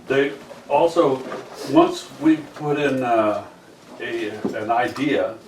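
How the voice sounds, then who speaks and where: A man explaining things to a group in an informal meeting, talking in a halting way as the organizer. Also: mid-range voice. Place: indoor meeting room. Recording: roomy, distant mic, faint room noise.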